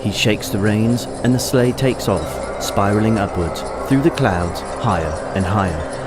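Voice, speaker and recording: A man's voice: fairly low pitch, measured pace, loud music behind the voice. A man giving scripted, present-tense narration for an audio play.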